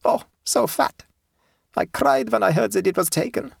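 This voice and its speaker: A narrator voicing a stolid, shrewd peasant woman in a storybook, calm, devout and matter-of-fact. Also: mid-range voice, creaky, husky, no echo, studio quality, voice pushed up, exaggerated voice. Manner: tranquil, unhurried, matter-of-fact.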